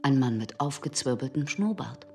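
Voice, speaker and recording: A woman playing a guarded, impassive shopkeeper's wife with a husky voice and terse answers in a radio crime drama. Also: very deep voice, moderate pace, soft background music. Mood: guarded, indifferent. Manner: flat, terse, withholding.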